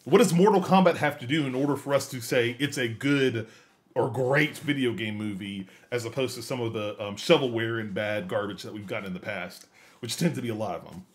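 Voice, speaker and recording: A man's voice: mid-range voice, a little fast. A man hosting a podcast in a casual, conversational way, with an enthusiastic manner. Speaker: podcast host. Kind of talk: casual chat.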